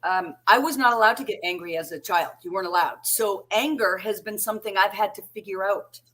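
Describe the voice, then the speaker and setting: A woman with a Massachusetts accent talks in a chatty, easy way, often checking in with "right?". Her voice sits at an ordinary middle pitch. She is heard over a video call. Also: fairly quick. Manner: chatty, warm, seeks agreement with frequent "right?". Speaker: co-host. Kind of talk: casual chat.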